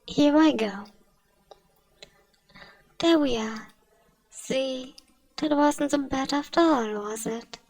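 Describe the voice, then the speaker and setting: A genteel female vampire, acted by a woman for an ASMR roleplay, speaks in a soft, fairly high voice with a creaky edge. She coaxes kindly and very unhurriedly, often dropping toward a whisper. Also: takes it slowly, long pauses, dry recording, recorded from a distance, exaggerated voice, rough recording. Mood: pleased, reassuring. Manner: soothing, coaxing, genteel endearments. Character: kind, genteel, doting female vampire mistress.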